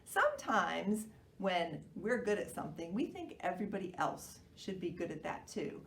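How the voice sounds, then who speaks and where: A roomy recording, set back from the microphone, carries a woman's children's storytime. Her voice is lively and melodic. She narrates expressively and gently instructs with questions to the listeners. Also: fairly low pitch, moderate pace, gravelly, slight background noise. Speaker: children's library storytime reader. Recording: roomy echo, far from the mic.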